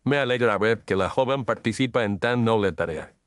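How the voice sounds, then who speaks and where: A man playing a courteous, precise Victorian gentleman detective in an audio story, with formal, measured phrasing. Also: lowish voice, clean tone, no echo, close to an everyday voice. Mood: courteous, assured. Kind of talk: audio story character dialogue.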